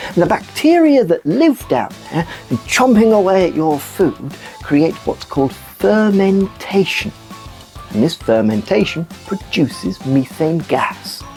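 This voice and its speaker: A woman voicing an enthusiastic puppet news presenter who explains science to children in an animated, emphatic storytelling way. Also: on the low side, full character voice. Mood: explanatory. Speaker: kids' show host. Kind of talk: scripted children's educational presenting.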